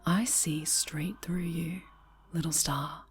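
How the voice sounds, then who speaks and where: A sinister, obsessive dark angel played by a woman speaks in a low, unhurried voice. She slips in and out of breathy whispers, tender and menacing at once, in an intimate ASMR drama with clear music underneath. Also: fairly even intonation. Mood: wounded, suspicious. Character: possessive, obsessive dark-angel superheroine turned sinister villain.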